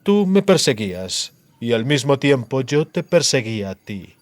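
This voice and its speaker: A man voicing a cruel, disfigured sorcerer in a measured, theatrical monologue, coldly gloating and menacing. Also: medium pitch, sing-song, no echo, lightly acted. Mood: gloating, reproachful.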